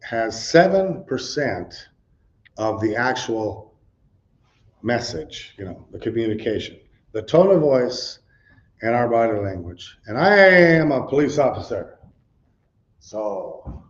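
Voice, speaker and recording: A middle-aged man preaching in a loose, conversational way, often saying "you know" and asking his audience questions. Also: medium pitch. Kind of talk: conversational teaching sermon with slides. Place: church meeting room with a responding audience. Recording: dull lo-fi recording.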